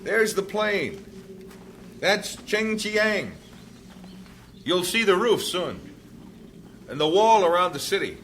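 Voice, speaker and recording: An actor playing a kind, steady young missionary who talks in a calm, reassuring way. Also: male voice, high-pitched, slow, long pauses, a little hiss or hum, exaggerated voice. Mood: cheerful.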